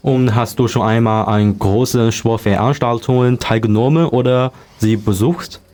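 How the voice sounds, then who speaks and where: A man's voice: mid-range voice, moderate pace, darkish tone. A young man moderating a school panel discussion, reading prepared transitions. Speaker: student moderator.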